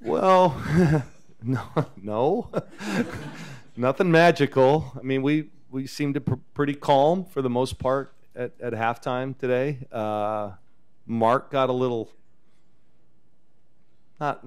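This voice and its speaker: A man in his fifties, a head coach, talking casually at a post-game press conference into a table microphone, relaxed and chatty. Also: mid-range voice. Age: 50s. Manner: relaxed, chatty, chuckles often. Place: press conference room with backdrop. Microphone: table microphone on a stand.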